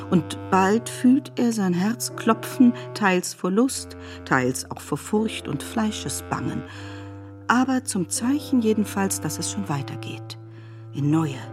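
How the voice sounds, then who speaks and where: Lowish-voiced woman narrating literature. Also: a little mellow. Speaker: narrator of a literary radio adaptation.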